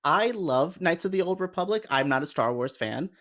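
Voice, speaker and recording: A man's voice: fairly high pitch, animated, normal speed, a bit bright. A man on a panel giving his opinions in an earnest, conversational way. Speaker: podcast panelist. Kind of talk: casual chat, giving opinions.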